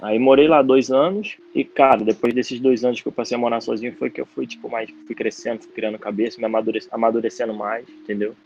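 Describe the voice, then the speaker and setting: A man's voice: mid-range voice, steady tone, fast, few pauses, darkish tone. Easygoing young Brazilian man, lo-fi. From Brazil, Brasília. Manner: easygoing, slangy. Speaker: guest footballer. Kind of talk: casual interview chat. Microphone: video call.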